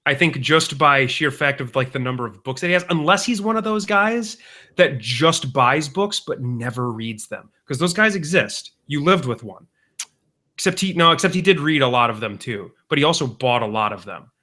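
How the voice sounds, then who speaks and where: A young man co-hosting a podcast, rambling through deadpan jokes in casual banter. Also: mid-range voice, moderate pace. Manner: deadpan joking, rambling with restarts. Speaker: podcast co-host.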